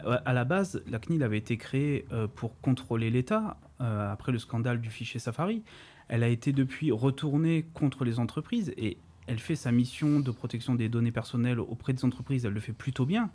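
A man's voice: mid-range voice, fairly quick, dark tone. A man reading a prepared tech chronicle on a podcast, with wry, ironic asides, then chatting casually.

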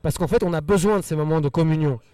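A man with a Belgian French accent whose first language is Spanish, earnestly answering interview questions in quick rushes with repeated words. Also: a little high, dark tone, clear, resonant tone, no room sound, recorded from a distance. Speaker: musician guest.

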